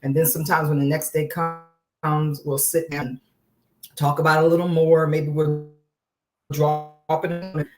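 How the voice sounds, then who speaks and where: A woman guest in an interview who speaks calmly and softly, often filling her speech with "you know". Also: sits low, a little slow, music in the background. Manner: soft, calm, leans on "you know" fillers. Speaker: guest, wellness coach. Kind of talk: casual interview conversation.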